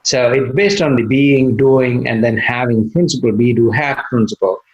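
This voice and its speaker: Sri Lankan-born doctor, muffled call audio. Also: male voice, medium pitch, a little mellow, a little hiss or hum. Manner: measured, many "uh" pauses. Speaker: cardiologist guest. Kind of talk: interview answers.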